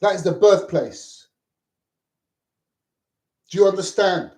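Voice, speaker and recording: A man's voice: high voice, takes it slowly, dark tone. Agitated middle-aged man, echoey room. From Lebanon. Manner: agitated, repetitive, swears. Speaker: vlogger. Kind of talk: rambling personal monologue to camera.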